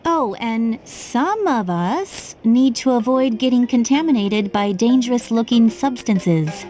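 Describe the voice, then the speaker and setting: A woman's voice: fairly high pitch, lively intonation, measured pace, warm tone. A woman playing a young video game character who admonishes her comrades in a dry, languid way. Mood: dry, chiding.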